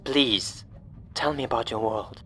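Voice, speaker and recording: A man voicing a desperate German radio caller, pleading in halting English with a German accent. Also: mid-range voice, fairly bright tone, soft background music, pitched above the performer's own voice. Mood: heavy pleading.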